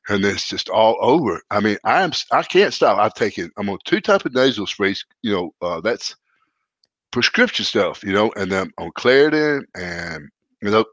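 A man's voice: fairly low pitch, fairly bright tone, no echo. A congested, stuffed-up man chatting casually on a podcast as co-host, rambling and self-mocking with many restarts.

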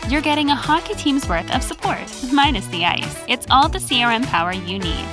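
A woman reading a scripted, jokey commercial in a bright, playful way. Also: high voice, expressive, a little fast. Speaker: ad announcer. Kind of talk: scripted comic radio ad read. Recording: ad with music bed.

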